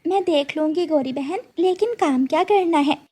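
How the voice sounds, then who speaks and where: Woman as a high-voiced gentle mother bird. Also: normal speed, lightly acted. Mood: earnest. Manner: soft, humble, earnest. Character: gentle, dutiful mother bird, worried wife.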